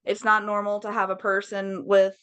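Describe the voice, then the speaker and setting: A young woman talking frankly in a casual interview, swearing freely, with a slightly raised, raspy voice. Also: medium pitch, completely flat delivery, normal speed, fairly bright tone. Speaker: podcast guest.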